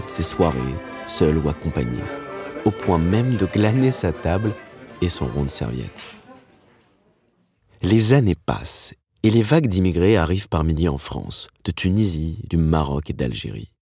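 An actor playing a dreamy screenwriter in his thirties, who tells his father's story reflectively in an intimate podcast drama. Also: deep voice. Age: 30s.

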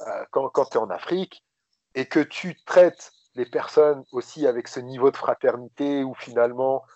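A man guesting on a podcast, speaking in a reflective, thoughtful way with frequent pauses and fillers. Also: mid-range voice, a little mellow, dry recording, highs cut off. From France. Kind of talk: reflective interview talk.

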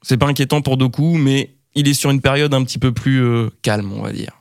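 A young man from Brittany, co-hosting a casual football podcast and talking quickly with many fillers and restarts. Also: mid-range voice, steady tone, creaky, smooth, no echo, studio quality. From Brittany, France. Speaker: podcast co-host.

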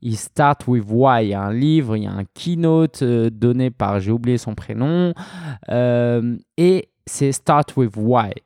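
A man from France hosting a solo business podcast, talking straight to the listener in a relaxed, conversational way with frequent hesitations. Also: mid-range voice, measured pace, no room sound, clean studio sound. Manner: conversational, addresses listener directly with many fillers. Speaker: podcast host.

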